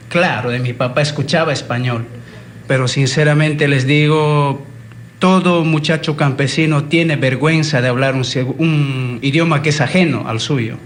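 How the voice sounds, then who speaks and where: A man's voice: medium pitch, slight background noise. A man in his forties from Ayacucho whose first language is Quechua, speaking earnestly as a translator guest in a television interview. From Ayacucho, Peru. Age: 40s.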